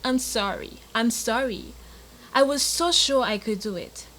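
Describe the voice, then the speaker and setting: A woman's voice: on the high side, unhurried, a bit bright, low-quality recording. A woman voicing a frightened young boy monkey, wailing and whimpering in a storybook reading. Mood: apologetic whimpering.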